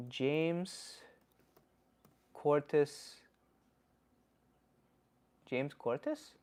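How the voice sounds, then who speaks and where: A man playing a blunt Slavic uncle, philosophizing in halting, casual livestream talk and answering chat comments. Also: fairly high pitch. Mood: casual, opinionated. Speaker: livestream host.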